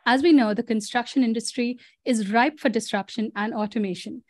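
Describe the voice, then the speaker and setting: A woman's voice: on the high side, a little mellow, no echo, studio quality. A woman hosting a podcast, reading a composed scripted introduction and then asking her guest questions. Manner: clear, composed, scripted delivery. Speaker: podcast host.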